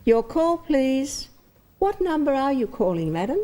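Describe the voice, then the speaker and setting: An impersonal switchboard operator in a radio thriller. Her high voice swoops in a formulaic sing-song while staying detached and clipped. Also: big pitch swings, a little slow, mellow. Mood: detached, official. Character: impersonal, unflappable female telephone operator. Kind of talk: suspense radio drama acting.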